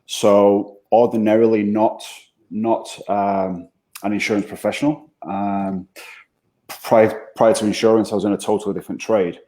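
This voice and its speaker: Mancunian man, interview guest, soft-toned delivery, halting and repetitive. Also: fairly low pitch, level tone. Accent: Mancunian English. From England. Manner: halting, repeats words while thinking. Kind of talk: conversational interview.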